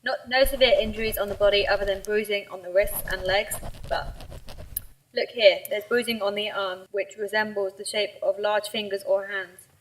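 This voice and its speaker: Pathologist, female actor, clinical and unemotional, amateur radio drama, medium-pitched voice. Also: steady tone, normal speed, close to an everyday voice, poor audio. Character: matter-of-fact female pathologist reporting post-mortem findings.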